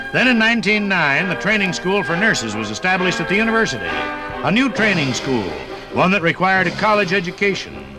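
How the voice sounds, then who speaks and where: A male 1950s radio announcer with a fairly high voice and very lively intonation. His delivery is polished and declamatory, over clearly audible music. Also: low-quality recording. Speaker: narrator and announcer.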